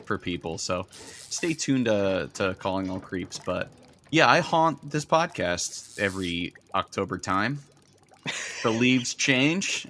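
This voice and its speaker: Man, slightly low pitch, bright forceful tone, jokey casual chat, podcast guest. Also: a little hiss or hum. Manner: playful, jokey. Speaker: recurring podcast guest, toy designer.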